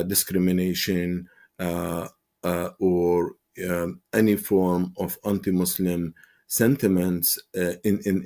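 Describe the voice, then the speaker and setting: A man's voice: sits low, dark tone. A man from Palestine, an advocacy spokesman answering in a TV interview, earnest and impassioned with frequent fillers and restarts.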